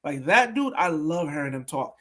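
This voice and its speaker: Male podcast host, high and lively, tells stories with emphatic repeats. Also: normal speed. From Brooklyn, New York. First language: Haitian Creole. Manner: animated storytelling, emphatic repeats. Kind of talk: conversational interview.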